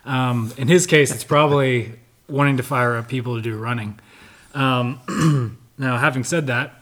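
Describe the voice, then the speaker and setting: A man's voice: mid-range voice, steady tone, moderate pace, fairly bright tone. A man hosting a casual interview podcast, joking easily and using many fillers. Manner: easygoing, joking, filler-heavy. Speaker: podcast host.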